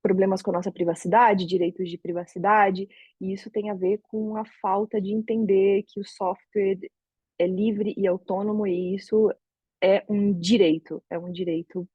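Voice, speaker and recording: A woman's voice: mid-range voice, fairly even intonation, moderate pace, breathy edge, dry recording, highs cut off. A Brazilian woman in her thirties with a Brazilian Portuguese accent, giving a conversational online talk from home, thinking aloud with frequent restarts. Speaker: open source advocate giving a talk. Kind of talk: casual online talk to a community. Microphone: video call.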